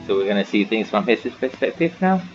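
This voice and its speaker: A man's voice: fairly low pitch, big pitch swings, a little fast. Male gaming host, casual playthrough commentary, reacting aloud, muttering, muffled lo-fi recording, music behind. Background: game music.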